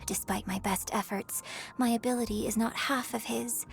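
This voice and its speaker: A woman's voice: fairly high pitch, fairly even intonation, normal speed, vocal fry. A woman voicing a gracious young opera troupe director in a video game, with formal, poised and theatrical phrasing. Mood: modest. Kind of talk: scripted video game character lines. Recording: music playing behind the voice. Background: music.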